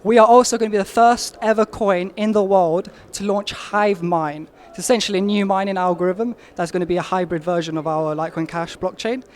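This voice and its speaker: High-voiced man, eager pitch. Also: fairly even intonation, a little fast, hardly any pauses. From Albania, raised in London. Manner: eager, insistent, emphatic claims. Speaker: marketing lead, interviewee. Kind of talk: casual interview pitch.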